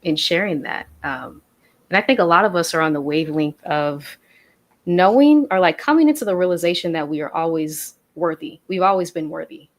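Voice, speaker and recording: A woman hosts a conversation in a low, steady voice. She affirms what her guest has shared and reflects it back in measured phrases. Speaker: podcast host. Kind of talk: interview conversation.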